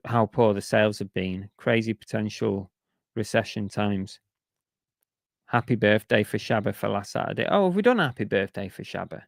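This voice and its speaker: A man's voice: fairly low pitch, vocal fry, no room sound, studio quality. A man chatting casually on a live stream, reading out viewer comments and grumbling about sales while losing his voice. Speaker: reseller streamer reading chat.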